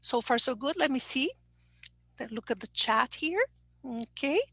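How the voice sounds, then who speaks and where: High-voiced woman teacher trainer. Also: expressive, unhurried, sharp tone, husky, no room sound. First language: Portuguese. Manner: explanatory, checks in with okay. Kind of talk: online presentation.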